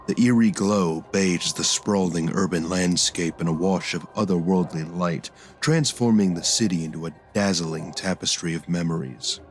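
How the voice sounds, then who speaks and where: A man's voice: low voice, normal speed, few pauses, faint music behind. A man giving scripted, dramatic narration for an audio drama.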